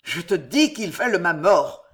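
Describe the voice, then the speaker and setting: A man's voice: high voice, slow, a bit bright. A reader voicing a raving paranoid young king. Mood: terrified. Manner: feverish, swings from tender to shrieking. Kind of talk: audiobook character reading.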